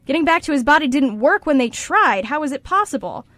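A woman narrates a radio drama from a script. Her voice is on the high side, recorded close and dry with little room sound. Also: lively intonation, fairly quick, a bit bright, no echo.

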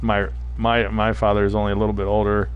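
A man's voice: on the low side, completely flat delivery, fast, smooth, lo-fi sound. A man from New England, chatting casually as a live streamer while playing a shooter, rambling and reading viewers' chat aloud. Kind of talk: casual gameplay commentary.

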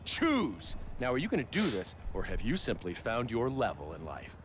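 A man's voice: mid-range voice, wildly swooping pitch, normal speed, fairly bright tone, a little hiss or hum. An actor playing a smug middle-aged businessman in a video game cutscene, talking down to others and lecturing. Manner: condescending, lecturing.

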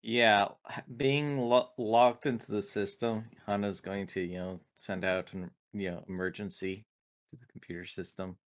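A man playing an online tabletop game, describing his moves casually and haltingly. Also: fairly low pitch, fairly even intonation.

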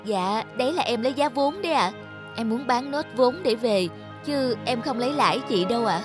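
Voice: mid-range voice, lively intonation, normal speed, music in the background, close to an everyday voice. An actor playing an earnest, polite young male street seller in a cartoon.

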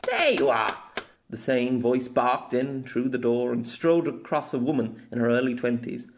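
A man's voice: mid-range voice, creaky, a little mellow. A man giving a scripted narration of a fantasy story.

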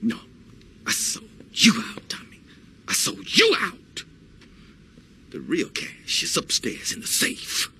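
An actor playing a slick, self-serving young gangster sidekick in a video game cutscene. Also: male voice, on the high side, huge pitch swings, takes it slowly, gravelly, pauses often, sharp tone, slight background noise, heavily acted. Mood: smug, cold.

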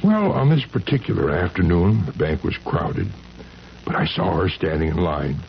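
A man acting in a 1970s radio drama as a shy, earnest, lovestruck engineer, speaking haltingly and eagerly. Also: deep voice, very animated, creaky, slight background noise, full character voice. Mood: wistful recollection. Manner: halting, eager, repeating himself. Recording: dull vintage radio recording with music.

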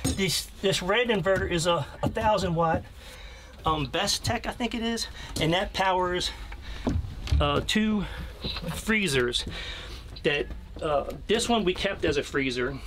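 A man's voice: sits high, expressive, measured pace, fairly bright tone. An American man in his fifties giving a casual, unscripted walkthrough in a plain-spoken, hesitant way, recorded inside a small camper trailer. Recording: clearly noisy location sound with clicks.

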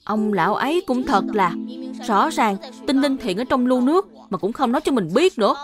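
Woman playing an inquisitive young boy, high voice. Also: expressive, moderate pace, over music, natural voice. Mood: protesting. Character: earnest, questioning young boy, older brother. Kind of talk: children's cartoon dub.

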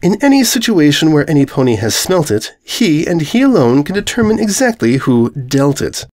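A regal mare put on by a man, calm and very pleased with herself. The storybook delivery is pompous and formal, with expressive pitch. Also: moderate pace. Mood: smug. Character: smug, formal female dark blue royal pony.

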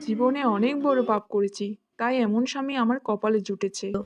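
A woman's voice: on the high side, moderate pace, crowd noise, natural voice. A woman playing a long-suffering farmer's wife and worried mother in a cartoon fairy tale, emotional and reproachful. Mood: bitter.

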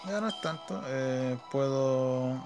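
A man's voice: mid-range voice, slow pace. A man with a Chilean Spanish accent gives casual, slangy live gameplay commentary, muttering to himself as he plays. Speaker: gamer, video creator. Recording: game music behind voice. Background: mobile game battle music.

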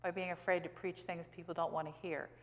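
An American woman in her sixties, a pastor giving a sermon in a conversational, emphatic way with rhetorical questions and pauses for stress. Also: fairly low pitch, flat delivery. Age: 60s. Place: church sanctuary, indoors at a pulpit. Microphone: pulpit gooseneck microphone. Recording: reverberant church hall.

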